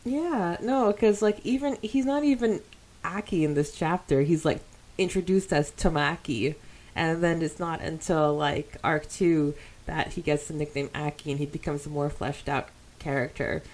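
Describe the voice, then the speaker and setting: A woman with a fairly low voice co-hosts a fan podcast. She talks informally, moving between reading listener comments and reacting to them in loose, conversational asides. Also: moderate pace, a little mellow. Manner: informal and conversational, reacting aloud to what is read. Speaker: podcast co-host.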